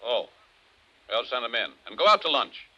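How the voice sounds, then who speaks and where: Sharp, barking, irritable boss. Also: male voice, mid-range voice, big pitch swings, normal speed, breathy edge, lo-fi sound, full character voice. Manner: curt, barking. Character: gruff, irritable, bullying male real estate boss. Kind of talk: 1950s radio drama acting.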